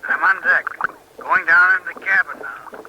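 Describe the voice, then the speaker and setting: An actor playing a plain, steady older husband who works as a diver in a radio crime drama. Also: male voice, a little high, normal speed, lo-fi sound, natural voice. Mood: steady, reporting. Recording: noisy old radio recording.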